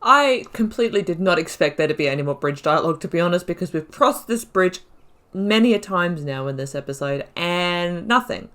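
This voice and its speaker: A woman gives casual running commentary as host of a game video. Her voice sits a little low for a woman, and she is chatty, dropping playful slang and asides as she plays. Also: expressive, fairly quick, a bit bright.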